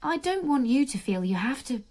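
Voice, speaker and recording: An actress in her twenties playing an independent young Roman noblewoman in a radio drama, speaking gently but frankly and with determination. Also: high-pitched, very animated, normal speed. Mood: tender. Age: 20s.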